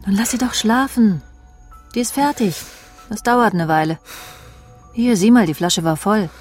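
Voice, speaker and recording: A woman's voice: medium pitch, a little slow, faint music behind, natural voice. An actress playing a cold, scheming friend in a radio crime drama, sardonic and matter-of-fact as she speaks and narrates. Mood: dismissive. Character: cold, scheming woman, spiteful friend, narrating her own deeds.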